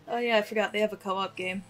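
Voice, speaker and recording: A woman's voice: mid-range voice, fairly even intonation, a little fast, faint music behind. A woman giving casual, wry let's play commentary as a gaming streamer, self-deprecating and sweary.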